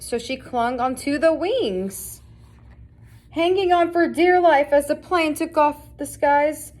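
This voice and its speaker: A woman with a fairly high voice narrates a children's storybook. She is reading aloud from a scripted tale meant for young listeners. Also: a little mellow. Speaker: storybook reader.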